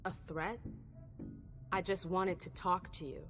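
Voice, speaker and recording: An actress playing a self-assured, defiant vampire envoy in a video game, firm and challenging. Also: female voice, lowish voice, steady tone, a little slow, over music, natural voice. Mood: defiant, insistent. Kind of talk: dramatic video game acting.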